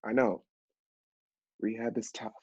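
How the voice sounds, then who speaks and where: A man acting as an earnest, kindly office assistant in a stage play. His voice is husky and a little breathy, with gently hesitant delivery. It is a dry but slightly dull recording. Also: fairly low pitch, level tone, a little slow, no echo. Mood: gentle, awkward. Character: Sam, earnest, kindly sober male literary assistant.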